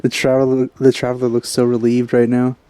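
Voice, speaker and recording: A man giving casual let's-play commentary with low-key, offhand reactions, close on the mic. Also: monotone, brisk, mellow, clear, resonant tone, no echo. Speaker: let's player.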